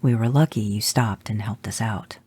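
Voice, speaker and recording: A woman voicing a gruff, wisecracking male monster hunter, dropping her voice low and hushed. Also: moderate pace, dry recording. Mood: reassuring.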